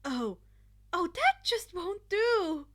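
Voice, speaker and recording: A woman's voice: extremely high pitch, very animated, takes it slowly, a bit bright, pitched above the performer's own voice, heavily acted. A woman voicing a friendly, caring and slightly hesitant teenage boy in a story reading. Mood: concerned.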